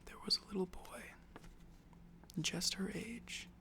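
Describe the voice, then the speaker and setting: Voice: medium pitch, slow, creaky, sharp tone. A tired ASMR storyteller whispering a rambling improvised tale, with occasional apologetic asides.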